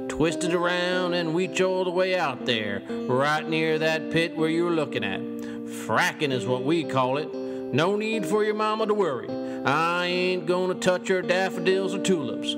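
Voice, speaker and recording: Loud guitar behind a guy doing a folksy uncle, kinda high voice, bragging schemer from an audio story. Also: unhurried, close to an everyday voice. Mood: boastful. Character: folksy, boastful Southern uncle scheming to drill oil.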